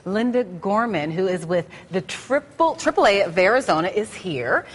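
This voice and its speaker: A woman co-hosting a local TV morning show. She chats lively, laughs easily and says she has a loud voice. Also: mid-range voice, normal speed, husky, big-room sound. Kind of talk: casual studio chat. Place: TV studio.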